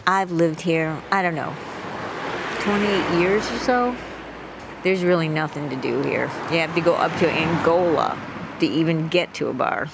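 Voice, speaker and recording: A bored small-town woman with a gravelly creak speaks in an audio drama interview. She is offhand and dryly resigned, with clear vehicle noise behind her. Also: low-pitched, normal speed. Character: bored, laconic longtime small-town local.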